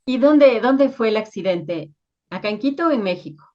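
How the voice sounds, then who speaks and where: A woman's voice: fairly high pitch, lively intonation, normal speed, a little mellow. Woman interviewer, slightly dull audio. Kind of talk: conversational interview questions.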